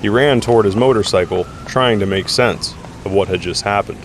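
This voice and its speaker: A man's voice: lowish voice, a little fast, a little hiss or hum. A man reading dramatic scripted narration for an action audio drama.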